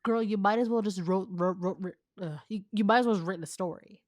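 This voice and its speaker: A woman's voice: mid-range voice, quick pace, dry recording. Casual, giggly woman in a dry recording. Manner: giggly, slangy, teasing. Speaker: co-host reading fan fiction aloud. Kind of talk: casual comedic read-aloud with commentary.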